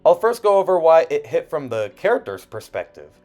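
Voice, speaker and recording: A man's voice: fairly high pitch, big pitch swings, fairly quick, a little mellow. A man reading a scripted video essay in an earnest, personal way with emphatic phrasing. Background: background music.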